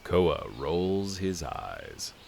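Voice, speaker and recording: A man's voice: low-pitched, wildly swooping pitch, drawn-out words, gravelly, a little mellow, slight background noise. A man narrating a scripted fantasy story for an audio drama.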